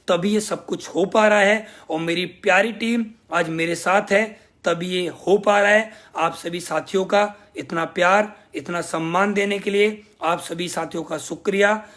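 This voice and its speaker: High-voiced young man giving a pep talk. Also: fairly bright tone. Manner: emphatic, grateful, repeats phrases for effect. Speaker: network marketing team leader. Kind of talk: motivational speech to a team.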